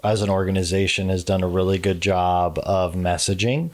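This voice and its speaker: Low-voiced male podcast host. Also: steady tone. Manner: halting, with many fillers and restarts. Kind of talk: conversational interview.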